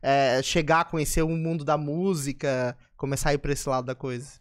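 A man hosting a podcast, upbeat and teasing, talking into a boom mic in a small studio. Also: fairly even intonation. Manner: upbeat, teasing, quick laughs. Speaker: podcast host. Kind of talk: casual chat. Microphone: headset with boom mic.

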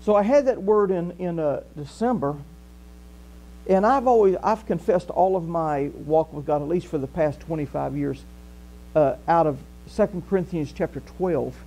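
Older Southern pastor preaching in church. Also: male voice, fairly high pitch, normal speed, poor audio. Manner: conversational preaching, animated gestures, walks the stage. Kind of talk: sermon. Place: small church hall, indoors. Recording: faint mains hum.

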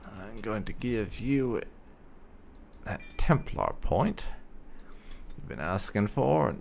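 A man's voice: fairly low pitch, big pitch swings, slow pace, vocal fry, a little mellow, a little hiss or hum. A man giving gameplay commentary on a role-playing game, speaking bluntly and with some swearing. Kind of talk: gameplay commentary, partly in character.

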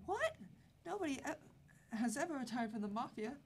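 A man voicing a greedy young daughter of a mafia boss in a high, whiny voice. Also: sing-song, normal speed, a little hiss or hum, heavily acted.

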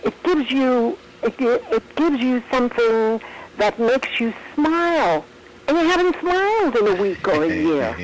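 A woman's voice: a little high, animated, unhurried, a little hiss or hum. A woman author being interviewed on a radio phone call, talkative and emphatic. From United States. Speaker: author guest.

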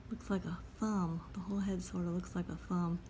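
A woman calmly talking through a step-by-step drawing lesson as she sketches at a table. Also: lowish voice, slight background noise. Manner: calm, unhurried, talks while drawing. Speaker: drawing instructor. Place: at a table indoors.

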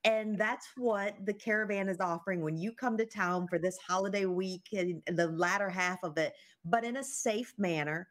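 A woman's voice: mid-range voice, normal speed, hardly any pauses. A woman hosting a talk show interview, chatty and stopping to restart her sentences.

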